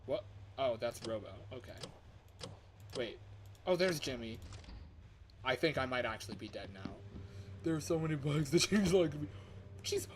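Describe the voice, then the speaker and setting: A man doing casual livestream commentary on a game, excitable and self-deprecating, quick to laugh at himself. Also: medium pitch, slight background noise. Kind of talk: casual gameplay stream commentary.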